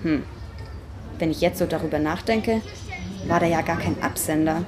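A woman's voice: sits low, moderate pace, over music, lightly acted. Bewildered, insistent young woman acted in a radio play. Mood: brooding. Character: confused, insistent young woman back in a changed hometown. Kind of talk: amateur radio play acting.

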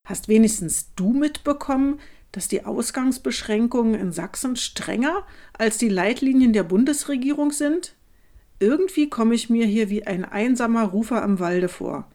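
A woman's voice: fairly high pitch, expressive, moderate pace, dark tone. An actress voicing an outspoken, questioning woman in a radio play collage of social media comments, with a pointed, wry and articulate delivery. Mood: frustrated. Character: outspoken working mother and teacher, questioning, wry. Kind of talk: radio play collage reading of online posts.

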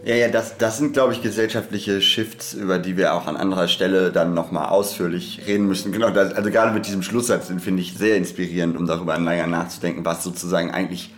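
A man's voice: low voice, steady tone, fast talker, hardly any pauses. A man hosting an analytical podcast conversation, thinking aloud and often rephrasing himself as he goes. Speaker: podcast host.

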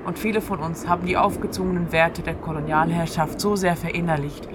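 A woman's voice: fairly low pitch, fairly even intonation, brisk. A woman hosting a free radio show in an informal, conversational monologue, speaking directly to the listener. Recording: clear background noise, outdoor ambience. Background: ambience through an open window.

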